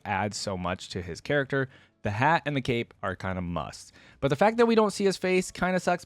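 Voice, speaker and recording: Casual guy doing reaction commentary, really lively up and down pitch, opinionated but hedges a bit, dry sound. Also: no room sound. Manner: informal, opinionated, hedging his opinions. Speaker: reaction video host.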